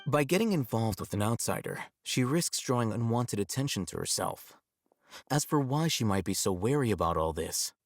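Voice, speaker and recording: An actor playing an aloof, rational young scholar in a video game, speaking in a measured, matter-of-fact, slightly condescending way. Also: male voice, medium pitch, lively intonation, dry recording, studio quality, faint music behind, close to an everyday voice. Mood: analytical. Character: aloof, rational young male scholar, coolly self-assured.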